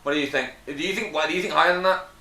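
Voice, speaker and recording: A slightly distant, echoey recording of a man trading casual opinions with friends. He has a bright voice with some edge, sitting at a middle pitch, with faint noise in the room. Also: very fast. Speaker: friend on a panel.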